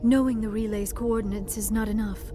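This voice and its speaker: A woman's voice: medium pitch, steady tone, a little fast, warm tone, soft background music, lightly acted. A woman playing an earnest, devoted young female alien in a dramatic video game.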